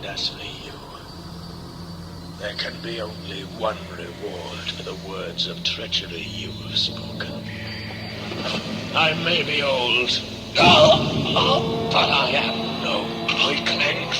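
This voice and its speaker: An actor playing an old, cruel high priest and ruler in a sci-fi audio play, pompous, commanding and declamatory. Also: male voice, sing-song, takes it slowly. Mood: furious. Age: older. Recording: echoey, loud music underneath.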